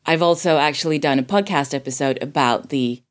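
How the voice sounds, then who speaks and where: Female podcast guest from Sweden, low register, casual film chat. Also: a little fast.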